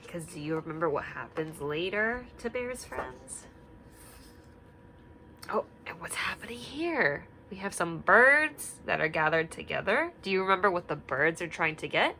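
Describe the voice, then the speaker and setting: A young woman teacher reading a picture book aloud and asking the children gentle questions, sometimes dropping to a whisper. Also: fairly low pitch, expressive, measured pace, gravelly, noisy background. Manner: drops to a whisper at quiet parts.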